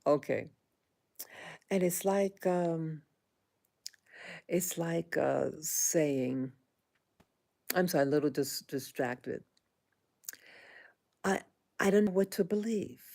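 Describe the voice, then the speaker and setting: A woman in her sixties talking into her own vlog, halting and indignant, with frequent restarts and fillers. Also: low-pitched, creaky, darkish tone. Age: 60s. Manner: aggrieved, indignant. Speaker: vlogger. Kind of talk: personal monologue vlog.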